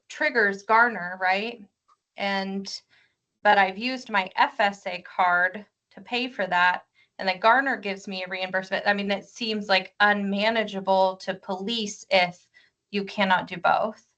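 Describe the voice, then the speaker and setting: A woman's voice: medium pitch, a bit bright. A woman on a board, speaking informally and thinking aloud in a meeting, heard through dull lo-fi audio. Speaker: board member. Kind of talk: conversational questioning in a meeting.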